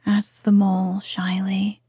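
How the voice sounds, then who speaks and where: A woman reading a storybook aloud in a soft, gentle whisper. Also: mid-range voice, monotone, slow pace, slightly rough, dry recording, studio quality.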